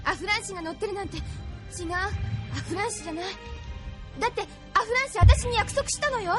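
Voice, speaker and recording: A woman's voice: very high-pitched, lively intonation, fast talker, music in the background. A woman playing a distraught, pleading young girl held captive in an anime audio drama.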